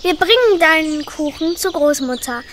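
A woman playing Janno, a curious, impulsive young rescuer in a children's radio play, asking quick questions. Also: high voice, lively intonation, fairly bright tone, slight background noise.

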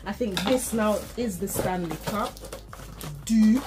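A woman's voice: medium pitch, slow pace, background noise. A young woman chatting candidly in a haul vlog, rambling through asides as she unboxes things in her bedroom. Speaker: vlogger. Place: bedroom, indoors.

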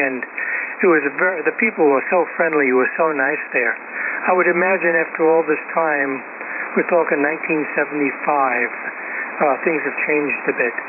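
An older man chatting casually over ham radio, rambling and halting as he talks. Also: a little high. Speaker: amateur radio operator. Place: received over shortwave radio. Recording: noisy, narrow-band shortwave ham radio audio.

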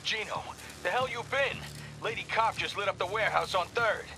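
An actor playing a pushy, rough male street thug calling over the phone in a video game. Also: high voice, fairly quick, over music, poor audio. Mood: bossy. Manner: pushy, rough, stumbling speech.

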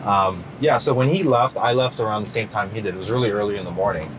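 A middle-aged man answering interview questions in a relaxed, rambling way, full of fillers and restarts. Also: fairly low pitch, quick pace, background noise. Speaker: interview guest. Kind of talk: casual interview.

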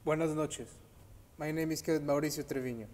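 Brief: teen male cadet from Mexico, slightly high voice, firm delivery, roomy hall. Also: fairly even intonation, fairly quick, a little mellow. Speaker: military academy cadet. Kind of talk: formal memorized speech. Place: dark auditorium stage. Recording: echoey hall, distant mic.

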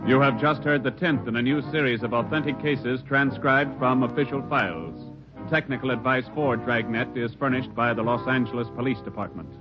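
A muffled old radio recording of an American man announcing over music. He reads scripted copy in mid-century broadcast style at a medium pitch. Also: fairly quick.

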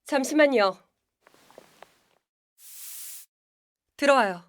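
A woman's voice: high voice, animated, brisk. An actress playing a curt but secretly kind office team leader in her thirties in a Korean audio drama. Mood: curt, businesslike.

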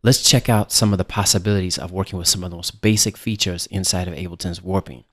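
Low-voiced man teaching music software. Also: fairly even intonation, fast talker. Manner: casual, explanatory. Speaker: music production instructor. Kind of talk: software tutorial narration.

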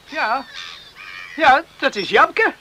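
A man's voice: very high-pitched, lively intonation, drawn-out words, sharp tone, a little hiss or hum, exaggerated voice. A man playing a strong, eager, boastful young farmer in a radio play, hearty and cocksure. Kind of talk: Dutch radio play acting.

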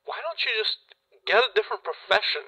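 A man's voice: high-pitched, expressive, quick pace, vocal fry, pushed and bright, husky, full character voice, poor audio. A man playing an exasperated, nagging friend in a darkly comedic audio drama, badgering in disbelief. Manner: incredulous, profane badgering.

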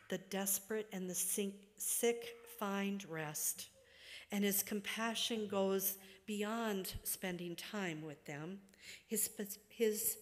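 A middle-aged or older woman preaching a sermon from a church pulpit, phrase by deliberate phrase, letting sentence ends trail away. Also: mid-range voice. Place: church sanctuary, at a wooden pulpit. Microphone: gooseneck pulpit microphone. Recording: long reverberant church hall.

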